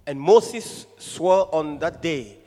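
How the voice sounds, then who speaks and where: Male scripture reader, fairly high pitch, measured formal recitation, reverberant hall. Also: animated, mellow. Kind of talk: Bible reading aloud in church. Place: large reverberant room. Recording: echoing church hall.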